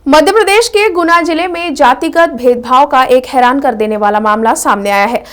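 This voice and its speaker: A woman's voice: high voice, normal speed, a bit bright. A woman presenting news headlines in a scripted news-reading style.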